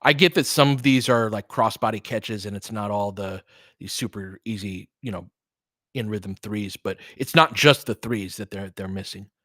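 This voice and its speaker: American man, lowish voice, casual basketball play-by-play, analytical, clipped phrasing, dry close sound. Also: normal speed, a bit bright, no echo. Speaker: host and play-by-play commentator for a fan stream.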